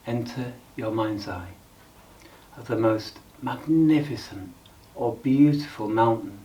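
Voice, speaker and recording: A man leading a guided meditation in a calm, measured, instructional way with frequent pauses. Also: medium pitch, distant mic, low-quality recording.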